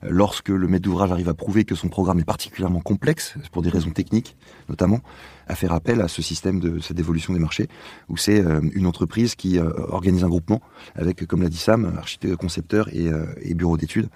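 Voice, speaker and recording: A man speaking as an interview guest, explaining in a halting, conversational way as he searches for words. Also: sits low, fairly even intonation, warm tone, breathy edge, dry recording.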